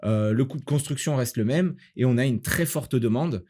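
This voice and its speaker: A man from the south of France with a medium-pitched voice, hosting a casual podcast. He speaks as a real estate agent explaining his field, often posing a question and answering it himself. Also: normal speed, dark tone.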